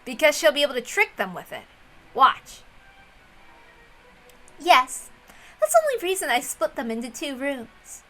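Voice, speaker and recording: A woman's voice: high voice, sing-song, unhurried, pauses often, sharp tone, soft background music, voice pushed up, full character voice. A woman voicing a confident, scheming young girl detective in a visual novel, with a smug manner.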